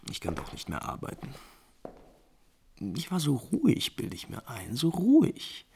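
A man playing an impulsive, refined, lovesick prince in 1950s German radio drama, theatrically shifting between musing and brisk command. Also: a little high, wildly swooping pitch, creaky, mellow, a little hiss or hum, full character voice. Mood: wistful, agitated. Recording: 1950s radio recording with music behind.

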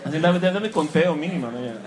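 A man's voice: a little high, a little fast, a crowd in the background. A man giving a live, joking talk about comics to an audience in a room. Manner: joking, self-mocking, animated asides. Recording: clear background noise.

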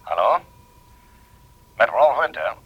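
An actor playing a polite, evasive, overly obliging gentleman on the telephone in a Dutch radio play, speaking hesitantly. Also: medium pitch, sing-song, slow, breathy edge, highs cut off, heavily acted. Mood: flustered.

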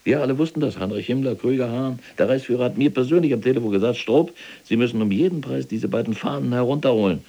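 A man's voice: medium pitch, fast talker, creaky, hardly any pauses, warm tone, slightly rough. A man playing an imprisoned, fanatical older SS general in a German audio drama, pompous and lecturing in manner. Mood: indignant. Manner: pompous, lecturing, indignant when contradicted. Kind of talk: German audio drama acting, monologue and argument.

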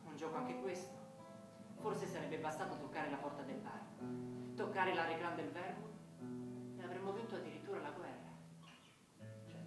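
An actor playing the wistful, wry ghost of a fallen soldier, resigned, in a theatrical radio drama style. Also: male voice, fairly high pitch, natural voice. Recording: very echoey distant room, music behind.

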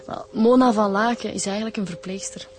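An actress playing a direct, inquisitive police inspector with a Flemish accent in a radio drama. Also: female voice, mid-range voice, steady tone, brisk, lightly acted. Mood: informative.

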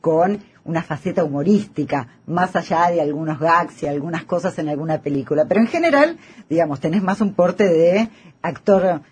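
A man's voice: fairly high pitch, normal speed, a little hiss or hum. A man with a Rioplatense Argentine accent hosting a casual radio interview, chatty, repeating phrases and tagging on questions. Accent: Rioplatense Argentine Spanish. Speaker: radio host. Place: radio studio.

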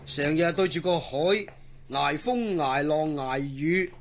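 Earnest young Hong Kong sailor, higher-pitched. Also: male voice, slow pace, gravelly, slight background noise. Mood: candid, reflective. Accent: Hong Kong Cantonese. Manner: earnest, colloquial, solicitous. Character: earnest, devoted young sailor, kindly and plain-spoken. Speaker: actor in radio drama. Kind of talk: Cantonese radio drama dialogue.

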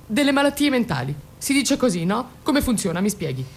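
A woman's voice: big pitch swings, fairly quick, gravelly. An actress playing a timid, distraught middle-aged mother in a radio drama, hesitant and pleading with anxious questions. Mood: anguished. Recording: noisy radio recording with low rumble.

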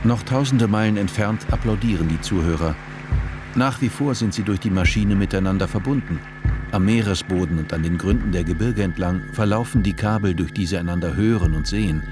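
A man narrating a dystopian radio play in a measured, detached, literary manner. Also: fairly low pitch, natural voice. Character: male narrator of a dystopian story.

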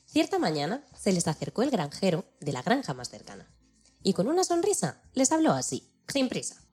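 A woman with a husky voice narrating a rhyming children's tale in a playful, declamatory, theatrical way. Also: mid-range voice, huge pitch swings, normal speed, darkish tone, soft background music. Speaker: storyteller.

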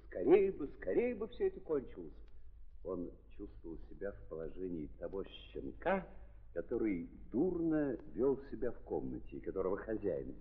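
An actor playing a grave literary narrator, theatrical with dramatic pauses and swelling emphasis, in a 1930s audio drama. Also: male voice, low voice, exaggerated voice. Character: grave, expressive literary narrator of a tragic novel. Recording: tinny, rough 1930s recording with reverb.

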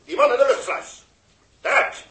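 A man's voice: very high voice, normal speed, heavy background noise. A man acting a stern, agitated flight controller and father in 1960s radio drama, urgent and emotional, in an echoey old recording. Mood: commanding, impatient.

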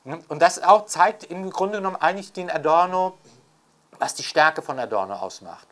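A man's voice: fairly high pitch, lively intonation, normal speed, fairly bright tone. Older man, reflective and emphatic scholar. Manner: reflective, emphatic, with frequent rhetorical tags. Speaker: author and scholar interviewed about Adorno. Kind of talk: unscripted intellectual interview talk.

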